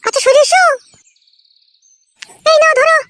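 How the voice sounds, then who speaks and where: A woman's voice: very high voice, measured pace. A woman voicing a fierce, bossy young village wife in a comedy cartoon, scolding in a rural West Bengal accent. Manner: scolding, bossy, coarse-tongued. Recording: loud noise behind the voice.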